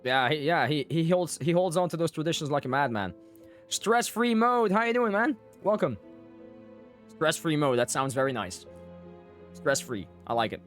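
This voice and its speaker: A man's voice: fairly high pitch, very animated, normal speed, fairly bright tone, faint music behind. A man live streaming a video game, chatting casually with viewers and commenting on the story. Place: close dry mic, game music behind.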